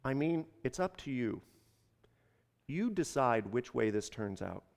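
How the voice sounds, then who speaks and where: A man's voice: high voice, lively intonation, normal speed, long reverb tail, voice pushed up. An earnest traveler burdened with another man's fate speaks in a told parable , acted by a male storyteller. He is exasperated yet principled, putting his questions and terms plainly. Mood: calm, resolute. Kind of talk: dramatized storytelling.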